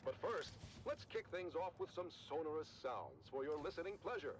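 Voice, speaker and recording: An actor playing a propaganda radio announcer for a noble house in a video game, with a polished, showy, formal delivery. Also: male voice, on the high side, big pitch swings, moderate pace, a little hiss or hum. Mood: upbeat, showy. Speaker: radio host.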